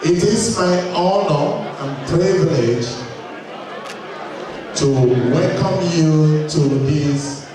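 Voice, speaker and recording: A man giving a formal welcome address at a community gala, in slow, measured phrases with long pauses. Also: on the high side. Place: large hall at a community gala. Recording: echoey hall, distant mic, crowd chatter.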